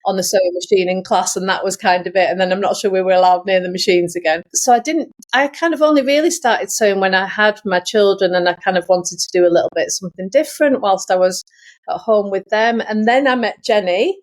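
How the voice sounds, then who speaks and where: A middle-aged woman with an English accent speaks as a guest in a casual interview. Her pitch is average for a woman, and she recounts things in a loose, chatty, informal manner. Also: fast talker. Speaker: guest, sewing teacher. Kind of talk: casual conversational storytelling.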